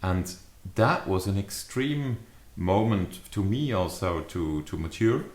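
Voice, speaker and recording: A man in his fifties giving a reflective, explanatory talk to camera as a leadership adviser, with measured, deliberate phrasing. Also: low voice, animated, a little mellow. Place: small furnished study or living room, indoors. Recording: echoey living room.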